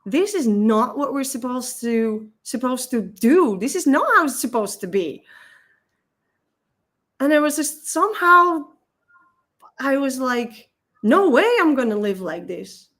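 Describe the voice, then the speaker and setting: A woman in her thirties with a Dutch accent, a guest telling a personal story in a halting, emotional way, often repeating words. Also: high-pitched, animated, fairly bright tone. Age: 30s.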